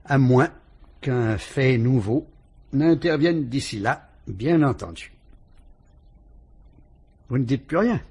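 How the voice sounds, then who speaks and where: An actor with a French accent playing a calm, shrewd police inspector who politely and ironically probes a suspect in a vintage radio drama. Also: male voice, mid-range voice, slightly rough, heavily acted. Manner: measured, probing, politely ironic.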